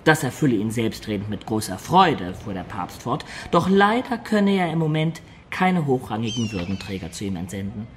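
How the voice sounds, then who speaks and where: An actor playing a wistful adventurer who recounts his life in a German radio play, in a measured, expressive storyteller's manner. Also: mid-range voice, slight background noise, lightly acted. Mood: narrating. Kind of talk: dramatic first-person narration in German radio play.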